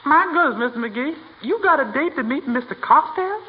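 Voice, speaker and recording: A woman's voice: sits high, expressive, moderate pace. An actor playing a cheerful, giggly household maid with folksy, sassy wit in a 1940s radio comedy, as a woman. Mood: sassy, friendly. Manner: giggly, folksy, mangles words. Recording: muffled, very noisy old radio recording.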